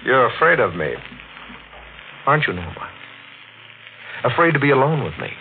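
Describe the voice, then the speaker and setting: A silky, menacing killer in an old radio play. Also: male voice, mid-range voice, big pitch swings, moderate pace, vocal fry. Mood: persuasive. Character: smooth-talking man, a cunning fire-mad killer. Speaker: villain in a radio drama. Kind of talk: 1940s radio acting. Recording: tinny old radio broadcast with hiss.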